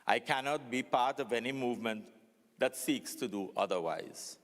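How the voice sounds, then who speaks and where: A man's voice: mid-range voice, moderate pace. A man delivering a prepared speech in a formal, emphatic, oratorical manner, recorded in a reverberant hall. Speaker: panel speaker. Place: large hall at a public forum.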